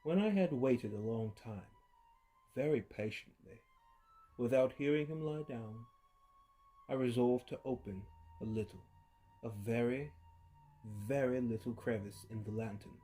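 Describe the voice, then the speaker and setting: A man giving a theatrical horror reading as an unhinged male murderer, gloating and feverish, dropping to hushed murmurs and rising to loud outbursts with low chuckles. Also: a little slow, long pauses, soft background music. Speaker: narrator reading a horror tale. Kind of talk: dramatic storytelling reading.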